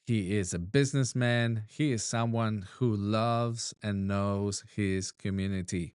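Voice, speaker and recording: A man's voice: lowish voice, fairly even intonation, measured pace, a little mellow, smooth, no echo, studio quality. A man from Argentina, hosting a casual interview podcast in a friendly, enthusiastic way. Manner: friendly, enthusiastic, laughs easily. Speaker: podcast host.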